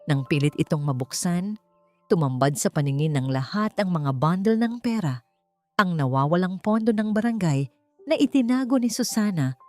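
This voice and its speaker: A woman's voice: on the low side, darkish tone. A woman narrator reading a scripted, emotional drama story in a storytelling style.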